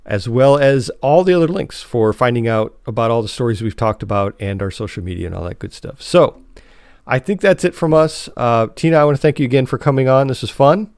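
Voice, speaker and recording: Male podcast host, mid-pitched, informal and chatty, dry studio-close sound. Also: expressive, a little fast, darkish tone, clean tone, no echo. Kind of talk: casual podcast chat.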